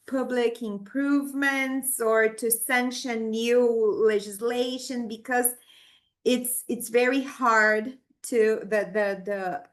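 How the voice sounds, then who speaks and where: Slow-speaking Brazilian woman presenter. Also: a little high, fairly bright tone. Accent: Brazilian Portuguese. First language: Portuguese. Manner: halting, many restarts and fillers. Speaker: conference presenter. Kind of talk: academic Q&A answer.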